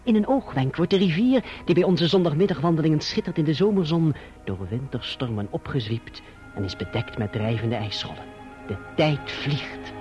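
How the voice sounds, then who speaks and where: An actor playing a courteous, earnest young gentleman in love in a radio drama, who also narrates reflectively. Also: a little high, normal speed, soft background music, natural voice. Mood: reflective, lyrical. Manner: polite, earnest, expressive. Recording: muffled, dull old radio recording.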